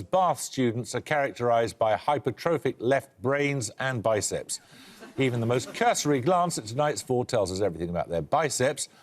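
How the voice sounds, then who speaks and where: A man hosting a television quiz show, reading questions and introductions in a crisp, dry, authoritative way with wry asides. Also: mid-range voice. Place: television studio.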